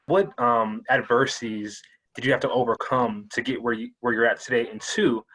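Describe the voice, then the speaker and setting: A man hosts an interview show with an upbeat, loud opening, then settles into easy, conversational questions. His voice sits at an ordinary male pitch. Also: normal speed, slightly rough, low-quality recording. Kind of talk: hosting an interview.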